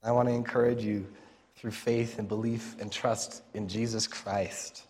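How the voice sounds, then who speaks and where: A man preaching an informal sermon as a pastor, in a raspy, strained voice that he pushes for volume, speaking conversationally and earnestly with pauses mid-phrase. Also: on the low side, little pitch movement, darkish tone.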